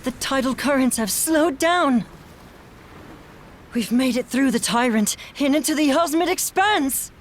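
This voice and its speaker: A woman playing an excitable young sailor in a video game cutscene. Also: high voice, unhurried, slight background noise. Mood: excited.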